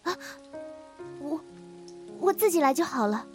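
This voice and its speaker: An actress playing a shy, insecure young female secretary in an audio drama, eager and tender, with a soft, hesitant delivery. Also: high-pitched, animated, unhurried, music in the background. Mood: flustered. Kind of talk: Mandarin audio drama acting.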